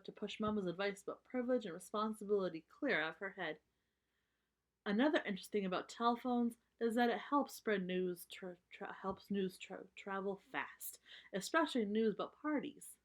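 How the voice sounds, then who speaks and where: A woman reading a children's story aloud, explaining things in simple terms for young listeners. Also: medium pitch.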